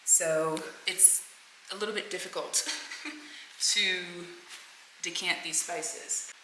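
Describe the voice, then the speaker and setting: A woman's voice: on the low side, fairly even intonation, slow pace, noisy background. A woman in her thirties talking casually to camera as a vlogger, chatting to her viewers as folks and y'all. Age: 30s. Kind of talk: casual vlog chat to camera. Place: home kitchen, then a car.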